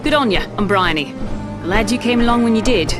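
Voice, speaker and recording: A woman's voice: on the high side, lively intonation, brisk, close to an everyday voice. Woman as a plucky owl rebel, music behind. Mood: cheerful, welcoming. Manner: breezy, slangy, cheeky. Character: breezy, plucky female owl resistance fighter. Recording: music under the voice.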